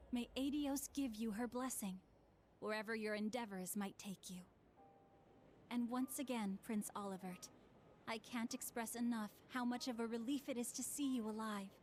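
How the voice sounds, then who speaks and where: A woman's voice: a little high, fairly even intonation, moderate pace, darkish tone, faint music behind, close to an everyday voice. An actress playing a gracious, dignified lady in a video game, with formal, earnest phrasing. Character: gracious, dignified noblewoman offering blessings.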